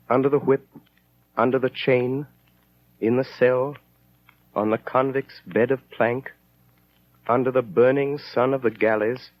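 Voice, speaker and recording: A man narrating a 1930s radio drama in a grave, solemn, declamatory style. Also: mid-range voice. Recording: dull 1930s radio recording.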